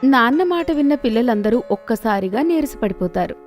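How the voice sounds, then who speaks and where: Woman narrating over clear background music. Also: a little high, animated, moderate pace. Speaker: storyteller, narrator. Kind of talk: scripted children's story narration.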